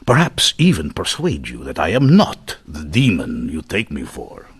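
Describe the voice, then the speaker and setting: An actor playing a suave, murderous doctor in a radio mystery, mock-courteous and gloating in a theatrical way. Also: male voice, very deep voice, wildly swooping pitch, normal speed, slightly rough, no echo, exaggerated voice. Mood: smooth, courteous. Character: suave, taunting male doctor villain, swindling murderer. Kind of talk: old-time radio mystery acting.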